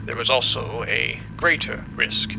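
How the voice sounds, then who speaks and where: A man playing a formal, measured scientist who explains a disaster in an audio drama. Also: medium pitch, vocal fry, noisy background. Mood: grave, deliberate. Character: apologetic, condescending male scientist explaining a disaster.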